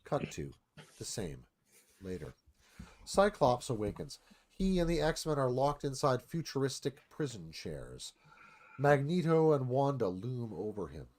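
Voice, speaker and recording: A man narrates a live script reading in a mid-range voice. His pitch moves in a lively way as he sets out dramatic stage directions. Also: unhurried.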